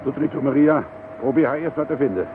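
Tinny actor playing a headstrong police inspector. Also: male voice, mid-range voice, fast talker, close to an everyday voice. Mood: brisk, determined. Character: headstrong, insistent male police chief inspector. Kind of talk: radio drama acting. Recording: tinny, band-limited, hissy radio play recording.

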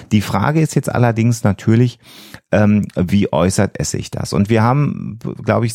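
A man explaining things as a podcast host in a halting way, with frequent hesitations. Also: low voice, gravelly, warm tone, dry recording, clean studio sound. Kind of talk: explanatory science podcast talk.

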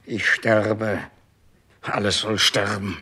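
A man's voice: lowish voice, little pitch movement, slow pace, pushed and bright, slightly rough, full character voice. An actor playing a self-absorbed dying king in a 1960s German radio play, wavering theatrically between commands and murmured reverie. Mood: anguished, torn.

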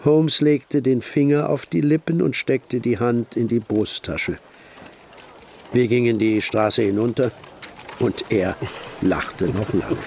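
An actor playing a detective's admiring companion, narrating a radio drama in a measured, literary storytelling manner. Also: male voice, mid-range voice, vocal fry, a little mellow, slight background noise.

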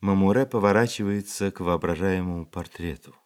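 A man as the narrator of a radio play, reading scripted stage action in a measured, expressive storytelling way. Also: low-pitched, natural voice.

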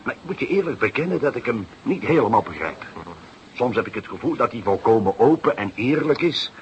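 An actor playing a genial, shrewd middle-aged Dutch police inspector in a radio drama, with drawn-out interjections and an avuncular way of talking. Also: male voice, mid-range voice, big pitch swings, highs cut off, lightly acted. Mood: pensive. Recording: noisy, dated radio play recording.

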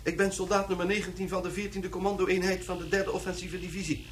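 A man's voice: high voice, level tone, fast talker, slight background noise, lightly acted. A man playing a stubborn captured young soldier, reciting defiantly and mechanically in a radio drama.

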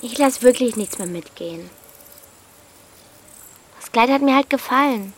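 A woman's voice: fairly high pitch, expressive, measured pace. A woman playing a bold, defiant thirteen-year-old village girl, blunt and impatient, in a radio drama.

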